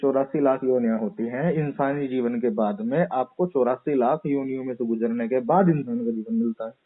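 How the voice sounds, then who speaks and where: A man's voice: mid-range voice, normal speed, no room sound. A man explaining and arguing casually on a phone call, posing rhetorical questions and acting out imagined exchanges. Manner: explanatory, uses hypothetical dialogue and rhetorical questions. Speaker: YouTuber answering a caller's questions. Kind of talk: casual argumentative phone discussion. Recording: muffled narrow-band phone call recording.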